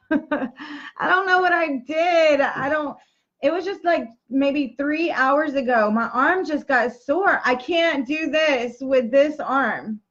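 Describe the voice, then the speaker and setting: A woman's voice: high-pitched, slow pace, a bit bright. A woman in her thirties chatting casually on a livestream, easygoing, with short replies and "y'all". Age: 30s. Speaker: livestream co-host. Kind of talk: casual chat reading live comments. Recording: lo-fi livestream audio.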